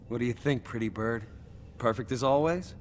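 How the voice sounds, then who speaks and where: A man's voice: medium pitch, normal speed, slight background noise, lightly acted. A man playing a cocky, wisecracking vigilante in a video game, glib and sarcastic. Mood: flippant. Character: cocky wisecracking male vigilante superhero, flippant and dry. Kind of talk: video game cutscene acting. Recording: loud game music behind.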